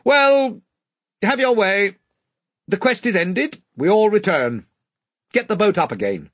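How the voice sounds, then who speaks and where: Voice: mid-range voice, big pitch swings, slow pace, breathy edge, no echo, clean studio sound, pitched above the performer's own voice, full character voice. A reader voicing a proud young king who speaks in a regal, commanding way. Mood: indignant.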